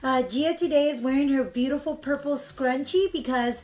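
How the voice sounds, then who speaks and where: A young woman, a little hoarse from being under the weather, chatting bubbly through a makeup tutorial in her bedroom, filmed close by her camera. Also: sits high, moderate pace, darkish tone. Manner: chatty, bubbly, lots of fillers. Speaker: beauty vlogger. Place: bedroom with couch, indoors. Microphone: camera in front at arm's length.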